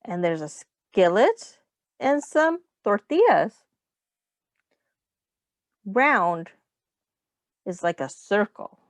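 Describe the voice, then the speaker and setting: A woman teacher reading a picture book aloud to young children, explaining things in simple phrases and pausing to ask questions. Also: mid-range voice, big pitch swings, dry recording.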